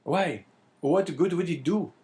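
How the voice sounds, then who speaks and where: A man's voice: a little high, measured pace, a little mellow, voice pushed up, natural voice. A man voicing a bitter, wavering, talkative innkeeper in an audiobook, with a plaintive and emphatic manner.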